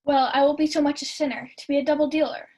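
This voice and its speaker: A woman's voice: high-pitched, steady tone, quick pace, a little mellow, natural voice. A young woman playing a genial, generous male duke in a radio-style Shakespeare play. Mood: amused, genial. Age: young adult.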